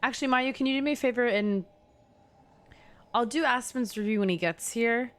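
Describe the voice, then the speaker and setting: A woman's voice: fairly high pitch, moderate pace, a bit bright. A young adult woman chatting casually with her viewers while livestreaming a game, bantering and teasing. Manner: bantering, teasing, talks to chat. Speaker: game streamer. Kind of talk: casual livestream chat and gameplay commentary.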